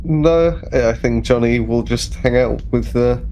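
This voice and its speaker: A man's voice: medium pitch, measured pace, dark tone, low-quality recording. A man playing a tabletop game, talking casually, recorded with some faint background noise. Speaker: player.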